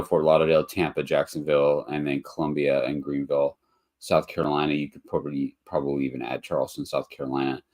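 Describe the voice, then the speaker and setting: A man's voice: very deep voice, darkish tone. A man hosting a live webinar in a casual, rambling way, a bit nasal and congested from allergies, with lots of ums and restarts. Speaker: webinar host, motel investor.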